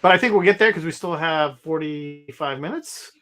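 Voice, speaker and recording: Guy hosting a livestream, bright tense voice, a bit high, rambles with lots of ums. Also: moderate pace, a little hiss or hum. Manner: rambling, upbeat, many fillers. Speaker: game publisher host. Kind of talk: casual livestream chat.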